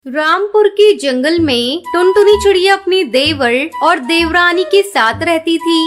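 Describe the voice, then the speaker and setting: A woman's voice: extremely high pitch, animated, measured pace. A woman narrating a scripted children's cartoon story. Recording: loud music behind the voice.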